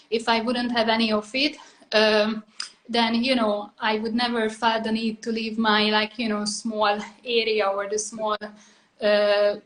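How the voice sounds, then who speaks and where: Hungarian-accented woman, higher even-toned voice. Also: poor audio. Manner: rambling, full of "like" and "you know". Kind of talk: casual personal conversation.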